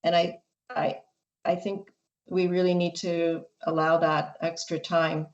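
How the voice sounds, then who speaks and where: Woman trustee, low and monotone, hesitant, dull call audio. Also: a little slow. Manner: hesitant, with many fillers. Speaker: school board trustee. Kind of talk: formal board meeting debate. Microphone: video call.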